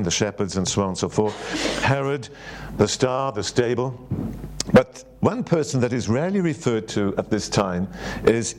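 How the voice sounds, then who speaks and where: An older man with a Welsh accent giving a sermon, reading scripture in a gentle, unhurried way with frequent pauses and fillers. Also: lowish voice, a little hiss or hum. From Wales. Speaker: church secretary giving a sermon.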